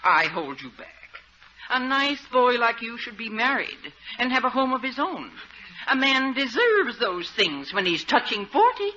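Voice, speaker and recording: A woman playing a doting, fussing older mother, gently insistent and coaxing, in 1940s radio comedy. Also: a little high, very animated, a little slow, full character voice. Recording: dull old radio with faint noise.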